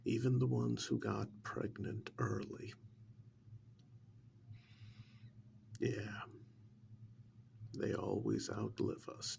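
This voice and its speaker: A man playing a calm, probing government agent in an ASMR role-play, speaking in a soft breathy half-whisper with a measured, condescending manner. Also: on the low side, exaggerated voice. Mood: needling, cold. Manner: measured, condescending, deliberate with long pauses.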